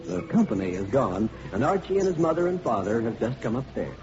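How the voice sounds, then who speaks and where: A man's voice: mid-range voice, sing-song, brisk, darkish tone, soft background music. A man announcing and reading sponsor spots in cheerful, emphatic 1940s radio style. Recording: dull, faintly noisy vintage radio recording.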